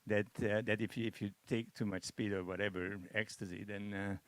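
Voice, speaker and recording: A man from Hungary, a Hungarian speaker, making halting remarks in a masterclass discussion, full of restarts and fillers. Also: on the low side, little pitch movement, no echo. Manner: hesitant, many restarts and fillers. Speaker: masterclass participant.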